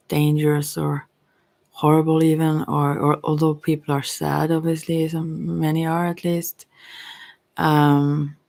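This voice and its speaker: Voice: mid-range voice, creaky, a little mellow, dry recording. An ASMR host with a voice of unclear sex, talking in a hesitant, meandering, reflective way. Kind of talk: ASMR, rambling personal talk.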